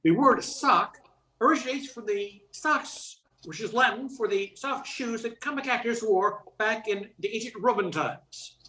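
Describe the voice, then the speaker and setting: In an echoey room, a know-it-all detective played by a woman lectures in a slightly high voice. The delivery is matter-of-fact and pedantic, in radio-play style. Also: expressive, a little slow, bright, forceful tone.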